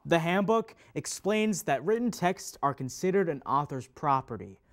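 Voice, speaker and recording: A pedantic male teacher acted by a man with a fairly high, very animated voice. He stresses key words emphatically and explains in a didactic manner, as in a scripted educational play. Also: normal speed. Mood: instructive. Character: pedantic male teacher patiently correcting a student. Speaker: readers theater performer. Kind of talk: scripted instructional stage dialogue.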